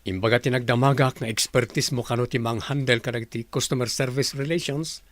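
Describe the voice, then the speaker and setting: An actor with a Filipino Ilocano accent playing a businesslike department head giving instructions in a radio drama. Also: male voice, mid-range voice, steady tone, a little fast, a bit bright, lightly acted. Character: businesslike male department head recruiting office staff.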